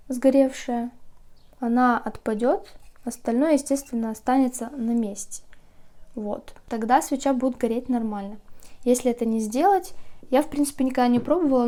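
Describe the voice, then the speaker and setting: Young woman, casual vlogger; sleepy morning voice, high-ish and mellow, chatty with soft laughs. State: just woken, slightly rough voice. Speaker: candle maker vlogger. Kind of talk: casual how-to vlog.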